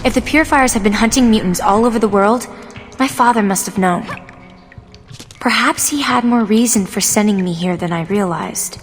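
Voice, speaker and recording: An earnest young heroine voiced by an actress in a video game. She sounds determined and curious, with a middle-pitched voice. Music plays clearly behind her. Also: normal speed, lightly acted. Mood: reflective.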